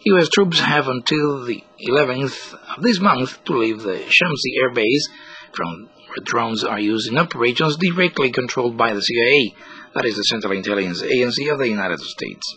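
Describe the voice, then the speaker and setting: A man's voice: medium pitch, expressive, moderate pace, pushed and bright, breathy edge, poor audio. A man reading scripted news commentary as a radio reporter.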